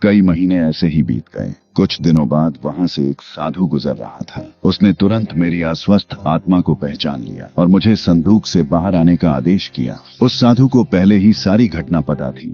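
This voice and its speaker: A man playing the grave, restless ghost of a murdered man, speaking in a measured way in an animated story. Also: deep voice, vocal fry. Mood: grave, sorrowful. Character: murdered man's restless ghost bound to a chest, solemn. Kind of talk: animated Hindi moral story acting. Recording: dull lo-fi audio with music behind.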